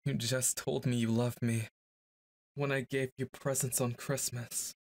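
Slow-talking man in boyfriend roleplay. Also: medium pitch, flat delivery, dry recording. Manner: speaks to an unseen listener, moody and dramatic. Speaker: ASMR roleplay performer. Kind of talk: boyfriend ASMR roleplay monologue.

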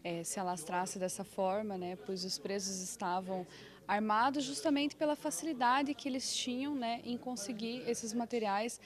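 A woman's voice: medium pitch, normal speed. Brazilian woman explaining in an interview. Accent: Brazilian Portuguese. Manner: explanatory, with fillers.

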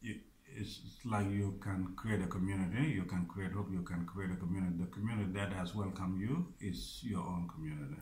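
A man in his forties from South Sudan, answering interview questions in a halting, earnest way, repeating words and restarting phrases. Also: low voice, steady tone, darkish tone, echoey room. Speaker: operations manager of a resettlement group. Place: small office room indoors. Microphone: camera a few feet away.

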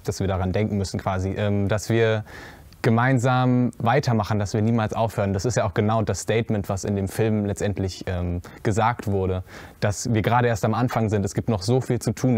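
A young man, seated on a stage, talks his way through interview questions in a lowish voice, pausing often with fillers and restarting his sentences. The sound is dry and close, with no room echo. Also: darkish tone. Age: young adult. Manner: halting, many fillers and restarts. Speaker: young actor in a musical theatre piece. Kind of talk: interview answers. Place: cinema auditorium stage before a curtain.

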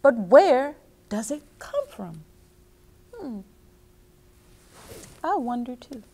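A middle-aged woman reading and explaining to children as a story time host, expressive and speaking directly to her young listeners. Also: high-pitched, drawn-out words. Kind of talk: children's read-aloud and instruction. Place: small indoor reading nook with bookshelves.

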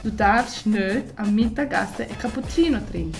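A woman in her thirties from Italy, speaking with an Italian accent in a lively, expressive way as a guest. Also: mid-range voice, moderate pace, creaky. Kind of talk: casual interview chat. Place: apartment living room. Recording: music playing underneath.

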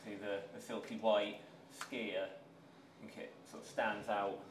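A man, a dairy worker, casually explaining his work in an unhurried, modest, informal way. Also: fairly low pitch, level tone. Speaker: dairy skyr maker. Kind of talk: casual explanation while working. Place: small dairy production room, indoors. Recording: echoey room with clear machinery noise.

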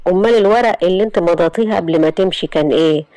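A woman's voice: fairly low pitch, rapid-fire, mellow, no room sound, lightly acted. A woman with an Egyptian accent voicing a harsh middle-aged aunt who speaks in a sarcastic, cutting way. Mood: sneering.

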